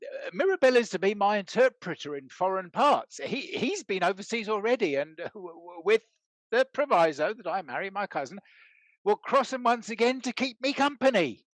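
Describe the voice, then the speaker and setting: A bumbling, good-hearted country squire from a Restoration comedy, played by a man. He stammers and blusters with a very animated swing in pitch, recorded close and dry. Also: moderate pace, no room sound. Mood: bashful, friendly. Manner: hesitant stammering, rustic bluster. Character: bumbling, good-natured country bumpkin knight. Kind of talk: scripted stage comedy acting.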